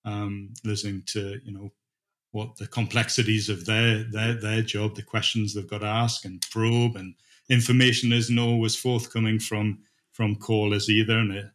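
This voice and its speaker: A middle-aged man answers interview questions informally. He speaks haltingly, thinking aloud as he explains. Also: on the low side, flat delivery, fairly bright tone. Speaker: dispatch manager being interviewed.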